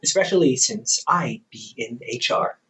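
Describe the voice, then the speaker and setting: Audio roleplay: male actor as a young adult older brother and company therapist, teasing, quick to laugh, very lively pitch. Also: slight background noise, poor audio.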